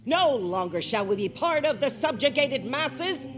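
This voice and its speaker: An actress playing a bossy, stubborn union organizer with a brassy, declamatory manner in a comic audio drama. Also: female voice, high voice, very animated, measured pace. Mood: defiant.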